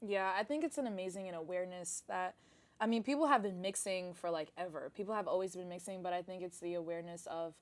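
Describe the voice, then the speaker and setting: A woman's voice: lowish voice, fast talker. A young woman co-hosting a casual talk show, reflective and a little searching as she talks. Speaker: podcast co-host. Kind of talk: casual discussion.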